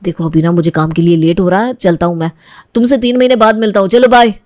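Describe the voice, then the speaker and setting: A woman's voice: medium pitch, fast, darkish tone, no echo, clean studio sound, natural voice. A woman voicing an earnest, evasive young man in a cartoon family story. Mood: hurried, dismissive. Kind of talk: cartoon moral-story dialogue.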